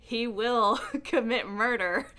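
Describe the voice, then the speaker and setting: Female, bright crisp-edged tone, chatty book-review monologue, informal, animated, prone to giggling. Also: a little high, slow pace, poor audio. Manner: giggly, laughs often while talking. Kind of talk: casual chatty video monologue.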